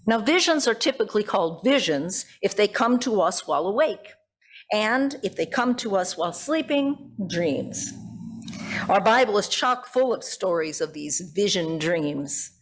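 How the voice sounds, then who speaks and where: A woman's voice: mid-range voice, animated, unhurried. A woman pastor preaching a prepared sermon in a conversational, reflective way with informal asides.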